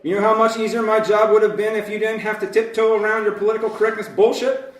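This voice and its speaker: A man acts out an exasperated, foul-mouthed president in a sketch comedy show. The voice is high for a male, sarcastic and defiant. It sounds echoey and set back from the microphone. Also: level tone, fast, close to an everyday voice. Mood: indignant. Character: exasperated, foul-mouthed departing American president, ranting bluntly. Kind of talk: comedy sketch in old-time radio variety show. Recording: echoey room, distant from mic.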